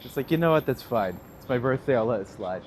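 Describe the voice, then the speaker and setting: A man's voice: medium pitch, expressive, fast talker, a little mellow. Chatty Canadian man on a street. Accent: Northern Ontario. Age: 30s. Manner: chatty, laughs often, answers viewer comments. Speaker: tour guide livestreaming. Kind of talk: casual live-stream chat. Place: outdoors on a city street. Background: faint street traffic.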